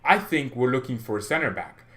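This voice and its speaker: A man's voice: medium pitch, lively intonation, a little fast, sharp tone. A young man hosting a fan channel, talking casually and opinionatedly, rambling with restarts and getting heated. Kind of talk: casual solo commentary.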